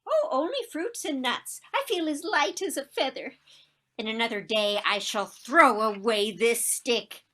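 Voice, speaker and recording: A gushing society lady of mature years, played by an actress, zealous and theatrical. Her voice is bright and rather high, and it swoops widely as she declaims in a stage comedy. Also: lively intonation, a little slow. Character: excitable older society lady, a zealous diet faddist. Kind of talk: stage comedy acting over video call. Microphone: video call.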